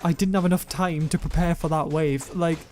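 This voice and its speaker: A young man with a self-described monotone voice, rambling through gameplay commentary and viewer comments, often correcting himself mid-sentence. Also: on the high side, moderate pace, slight background noise. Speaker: gaming YouTuber.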